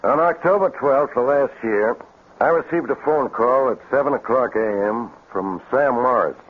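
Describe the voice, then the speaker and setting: Folksy sheriff, tinny old radio. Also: male voice, mid-range voice, slightly rough. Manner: folksy, deliberate. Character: small-town sheriff, plain-spoken, firm lawman. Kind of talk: 1950s radio drama acting. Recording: thin, phone-like vintage radio recording.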